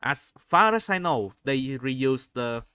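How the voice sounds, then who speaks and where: A man giving unscripted video commentary, halting often as he searches for words and repeats phrases. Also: medium pitch, sing-song, bright, forceful tone, clear, resonant tone, no echo, studio quality. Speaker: video host reviewing game screenshots.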